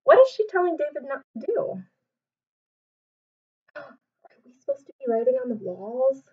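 A woman in her twenties reading aloud to young children like a teacher, asking them simple questions in an animated way. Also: sits high, moderate pace. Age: 20s. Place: small home room, indoors. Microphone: webcam or laptop mic at arm's length. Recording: dull webcam sound, distant mic.

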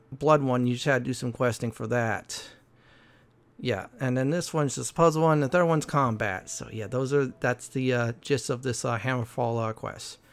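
A man giving casual, halting, explanatory game walkthrough commentary as a gaming host. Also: medium pitch, clean tone.

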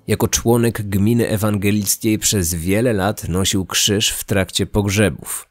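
A man narrating a scripted true-crime podcast in a measured, articulate manner. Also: fairly low pitch, no room sound.

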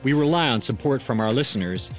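A man's voice: medium pitch, expressive, fast talker. Male podcast announcer over music. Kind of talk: scripted podcast credits.

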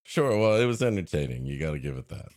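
A man's voice: extremely low pitch, sing-song, fast talker, darkish tone, no echo, clean studio sound. A man co-hosting a comedy podcast, improvising jokey, mock-serious asides in casual chat.

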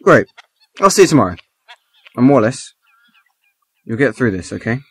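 A man's voice: medium pitch, very animated, moderate pace, husky, dry recording. A man playing a blunt but caring best friend in an amateur radio drama. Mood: coaxing. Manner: blunt, plain-spoken, coaxing.

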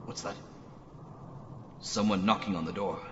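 A man playing a plucky, bewildered old-fashioned space hero in a radio serial, exclaiming and talking to himself haltingly. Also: on the low side, steady tone, a bit bright. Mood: wary. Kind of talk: pulp radio serial acting. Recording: dull, reverberant, faint noise.

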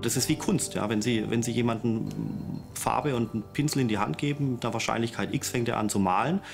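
A man's voice: medium pitch, fairly quick, gravelly, music in the background. A man in his forties explaining things as an expert interviewee.

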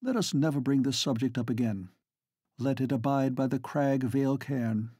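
A devoted, lovelorn gentleman speaks with passion and reproach, as a man reads him. His voice is dark and slightly husky. It is recorded close and dry. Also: medium pitch, moderate pace, no echo, natural voice. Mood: sad.

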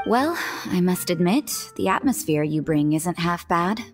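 An actress playing a cool, world-weary young nun in a video game, dry and deadpan. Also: female voice, on the low side, unhurried. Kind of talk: video game character dialogue. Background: music.

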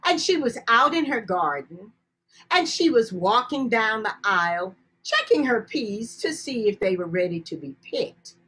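A middle-aged woman telling children's folktales in a theatrical, playful way, with dramatic pauses and repeated phrases. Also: on the high side, big pitch swings, fairly bright tone, breathy edge, poor audio. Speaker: storyteller. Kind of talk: animated children's folktale storytelling with sung refrains. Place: indoors, video call.

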